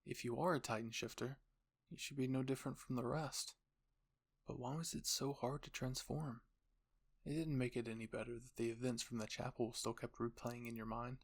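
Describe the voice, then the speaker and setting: Casting: male storyteller, mid-pitched, gravelly edge, scripted second-person narration for audio roleplay. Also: fairly even intonation, moderate pace.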